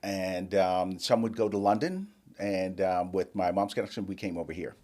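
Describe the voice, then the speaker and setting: Man, older, raised in the Bahamas, low voice, interview guest, storytelling. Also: moderate pace. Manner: storytelling, animated quoting of others. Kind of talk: casual interview conversation.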